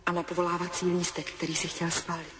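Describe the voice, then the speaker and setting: A woman's voice: on the low side, little pitch movement, background noise, natural voice. An actress playing a wistful young woman in love, speaking intimately and insistently to her man in a 1970s radio drama. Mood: tender, insistent. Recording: music under the voice.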